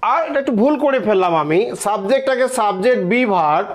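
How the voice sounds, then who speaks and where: A man's voice: high voice, animated, moderate pace. A middle-aged man with a Bangladeshi accent teaching in a classroom style, didactic, repeating phrases and asking check questions. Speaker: English grammar teacher and board examiner.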